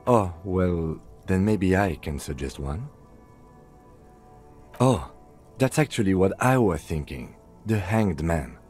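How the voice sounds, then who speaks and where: A reserved male police lieutenant from a video game, low and mellow in voice. He talks in a measured, polite way with dry, deadpan earnestness. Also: faint music behind, exaggerated voice. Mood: pleased. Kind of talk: video game dialogue acting.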